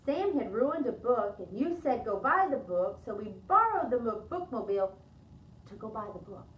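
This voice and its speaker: A woman's voice: fairly high pitch, sing-song, normal speed, reverberant, distant mic, lo-fi sound. A woman voicing a cheerful, naive, literal-minded housekeeper in a storybook, earnest and exclamatory.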